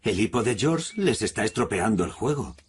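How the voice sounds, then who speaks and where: A man's voice: fairly low pitch, big pitch swings, normal speed, husky. A man with a Castilian Spanish accent narrating a children's cartoon from a script.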